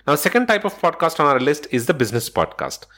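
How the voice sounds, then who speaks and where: A man's voice: fairly high pitch, very animated, quick pace, fairly bright tone. A man hosting a solo podcast episode, explaining points in a methodical, instructional way from loose notes. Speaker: podcast host.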